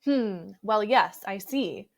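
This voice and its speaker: A woman's voice: mid-range voice, animated, slow. Woman reading as a fussy, baffled male doctor. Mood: hesitant, mumbling. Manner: exclamatory, questioning in long lists.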